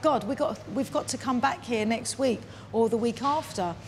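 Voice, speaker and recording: A woman's voice: a little high, moderate pace, a little mellow, slight background noise. A middle-aged woman speaking emphatically and dramatically in a documentary interview. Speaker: hotel owner. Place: large bare room under renovation. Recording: prominent music under the speech.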